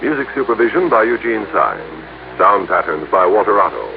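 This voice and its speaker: A male American announcer reads scripted copy in 1960s radio style. His pitch moves freely with a creaky edge, and the old recording sounds thin. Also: very animated, moderate pace, narrow, tinny audio. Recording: old, band-limited, hissy radio recording.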